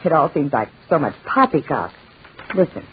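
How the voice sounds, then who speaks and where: A woman's voice: sits low, very animated, normal speed, breathy edge, full character voice. An actress playing a skeptical young woman, a worried cousin, in a 1940s radio drama. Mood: reading aloud, dramatic. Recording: muffled, tinny 1940s radio recording.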